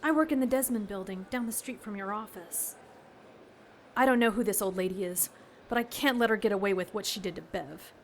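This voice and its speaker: A woman's voice: mid-range voice, a little fast, slight background noise, natural voice. An actress playing a worried, earnest woman seeking help in an audio drama.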